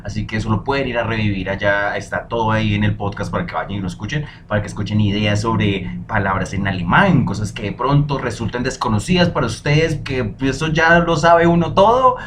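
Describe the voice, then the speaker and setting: A young man hosting a solo podcast, talking in a lively, emphatic way and dropping in foreign phrases. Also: fairly low pitch, brisk, hardly any pauses, noisy background. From Colombia. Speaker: podcast host.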